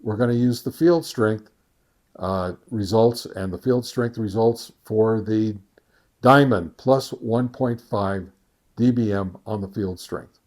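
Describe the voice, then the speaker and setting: A man hosting a casual gear review, thinking aloud in a halting way with folksy, conversational asides. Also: on the low side, a little mellow. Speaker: amateur radio gear reviewer and host. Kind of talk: casual product test summary.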